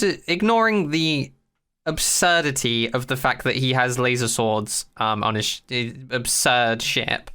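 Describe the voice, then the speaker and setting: A podcast co-host chatting casually about a TV show in an animated, incredulous, sweary way. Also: mid-range voice.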